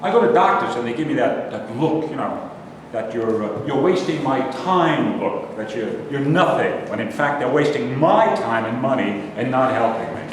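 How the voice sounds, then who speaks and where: A defensive, complaining man in his sixties, acted by a man in a staged play reading, talks in stammering, halting phrases. His pitch swings in a lively way, heard from off in a big echoey room with clear noise. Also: close to an everyday voice. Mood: rueful, complaining. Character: self-pitying, defensive 65-year-old man, a former soldier. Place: live reading in a reverberant room. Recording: echoey hall, distant mic, noisy.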